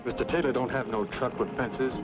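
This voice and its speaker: A simple frontier cowhand, played by a man in a vintage radio western. He talks in a rustic, deferential way at a middling pitch. The old recording is muffled, with clear music behind. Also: fairly even intonation, a little fast, natural voice. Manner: rustic, hesitant, obedient. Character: simple, folksy, deferential ranch hand. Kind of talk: old-time western radio drama acting.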